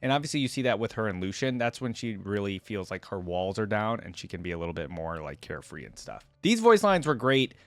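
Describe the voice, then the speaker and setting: A man's voice: on the low side, big pitch swings, fast talker, hardly any pauses, fairly bright tone, no echo, faint music behind. A man giving casual, enthusiastic reaction commentary as a video host, speaking informally with lots of "like". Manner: informal, enthusiastic, heavy use of "like".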